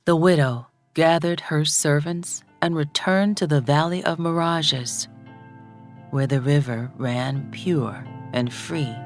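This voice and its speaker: Low-voiced woman narrating a solemn story. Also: faint music behind. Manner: solemn, measured. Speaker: audiobook narrator. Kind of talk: dramatic scripted story narration.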